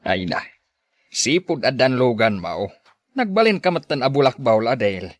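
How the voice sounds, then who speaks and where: A man's voice: mid-range voice, very animated, normal speed, close to an everyday voice. A man with an Ilocano Filipino accent playing a concerned, admonishing father in an audio drama. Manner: questioning, admonishing. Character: concerned, admonishing father of a grown married daughter.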